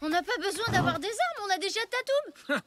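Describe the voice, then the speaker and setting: A performer voicing a brave, stubborn young boy in a French cartoon, earnest and quick to exclaim. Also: very high voice, big pitch swings. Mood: defiant. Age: child. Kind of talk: French cartoon dub acting. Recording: loud music underneath.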